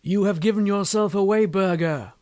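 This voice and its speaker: A man voicing an earnest, hot-tempered, wealthy young English gentleman in an audiobook story, speaking formally and emphatically. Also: high voice, normal speed, no echo, clean studio sound, pitched above the performer's own voice, natural voice. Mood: earnest, insistent. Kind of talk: audiobook character dialogue.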